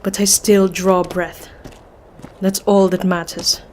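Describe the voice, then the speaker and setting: A woman playing a resolute young Victorian gentlewoman in an audio drama, deliberate and dramatic. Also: medium pitch, little pitch movement, a little hiss or hum, natural voice. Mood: weary.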